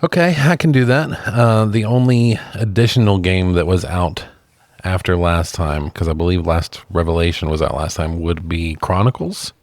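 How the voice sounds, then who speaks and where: A man's voice: sits low, normal speed, darkish tone, dry recording, clean studio sound. A man co-hosting a podcast, talking casually about movies and video games. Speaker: podcast co-host. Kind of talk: casual film and game chat.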